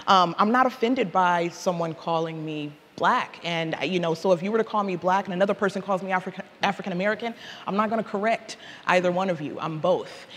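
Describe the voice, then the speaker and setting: A man's voice: high-pitched, brisk, hardly any pauses, fairly bright tone. A man giving his opinion casually as a panel guest, repeating phrases for emphasis, in a reverberant space. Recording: reverberant hall with clear background noise.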